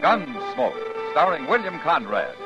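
Dramatic American radio announcer, animated. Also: male voice, a little high, slow pace. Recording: dull old radio recording. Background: music.

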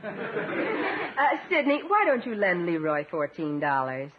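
A woman's voice: medium pitch, wildly swooping pitch, takes it slowly, a faint crowd. A woman playing a sweet, coaxing sister in a 1950s radio sitcom. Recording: dull 1950s radio recording, studio audience.

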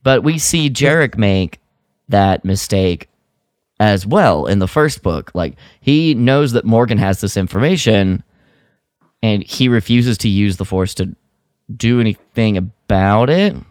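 A man co-hosting a podcast, chatting casually and retelling a story in an animated way. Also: lowish voice, unhurried, no echo, clean studio sound. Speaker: podcast co-host. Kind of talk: casual review chat about an audio drama.

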